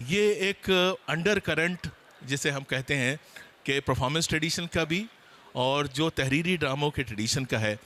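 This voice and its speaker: A man's voice: on the high side, a bit bright. A man giving an explanatory talk as a panelist at a seminar, checking that listeners follow.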